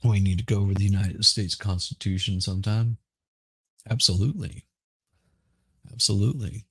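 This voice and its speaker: Hoarse, gravelly low-voiced man. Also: steady tone, moderate pace, a bit bright, dry recording, clean studio sound. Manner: rambling, repeats words and restarts. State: hoarse from smoke. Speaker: podcast host. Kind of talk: casual livestream chat.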